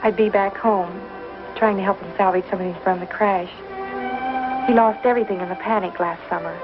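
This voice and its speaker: A young frontier schoolmarm, played by an actress, firm yet kindly, with careful and proper diction. It is 1950s TV Western acting on a dull, muffled soundtrack with prominent music. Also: female voice, medium pitch, steady tone, fairly quick, natural voice. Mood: friendly, earnest.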